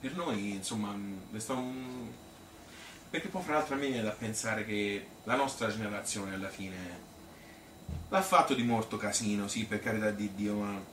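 A man's voice: lowish voice, normal speed, fairly bright tone, lightly acted. A male actor playing a chatty Tuscan man who reminisces about his youth in a colloquial, rambling stage monologue, full of repetitions and appeals for agreement. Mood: wistful. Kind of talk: spontaneous-sounding theatrical storytelling. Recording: echoey room, distant mic, faint noise.

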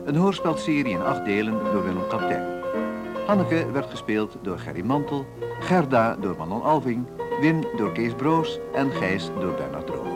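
A man's voice: medium pitch, sing-song, moderate pace. A man reading scripted credits as a radio drama announcer. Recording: loud keyboard music behind. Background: piano music.

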